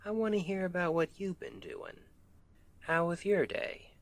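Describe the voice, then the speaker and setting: A man's voice: high voice, lively intonation, measured pace. A man playing a sweet, weary Southern US husband and roofer in an intimate ASMR roleplay, with an affectionate, teasing, folksy drawl. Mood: curious, affectionate. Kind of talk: intimate ASMR roleplay, one side of a conversation.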